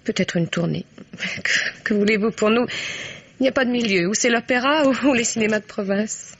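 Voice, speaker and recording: An actress playing a broke, self-mocking dancer in a French radio mystery, frank and rueful, with a breathy, creaky voice. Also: female voice, fairly high pitch, a little fast. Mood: resigned. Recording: dull old radio recording.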